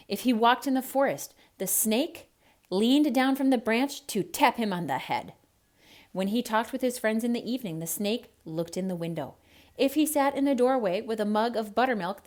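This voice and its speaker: A woman reading a children's story aloud in a theatrical, expressive way with emphatic delivery. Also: fairly high pitch. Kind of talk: theatrical read-aloud narration.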